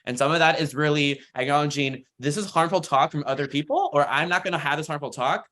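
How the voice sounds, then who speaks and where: Higher-voiced American man, earnest and informal. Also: fast, sharp tone. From United States, Florida and rural Texas. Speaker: workshop panelist. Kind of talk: informal panel talk. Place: online video call.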